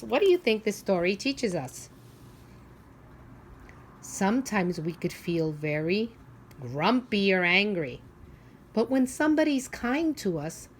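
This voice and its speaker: Lady teacher doing a kids read aloud, really slow, asks lots of questions, bit of background noise. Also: medium pitch. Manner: expressive, instructive, often asking questions. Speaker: preschool or primary teacher. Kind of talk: children's read-aloud with questions to young listeners.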